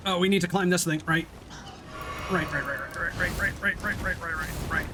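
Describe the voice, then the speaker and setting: A man's voice: high voice, steady tone, moderate pace, over music. A man giving casual, off-the-cuff live commentary as he streams a video game.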